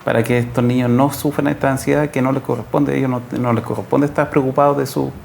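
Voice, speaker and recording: A Chilean man, a social worker guest, explaining conversationally in a measured way, pausing to find words. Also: mid-range voice, little pitch movement, gravelly, darkish tone. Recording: faint background noise.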